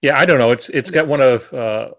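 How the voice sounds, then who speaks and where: A man, an author on a podcast panel, chatting casually about books in a halting, rambling way with frequent pauses. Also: medium pitch.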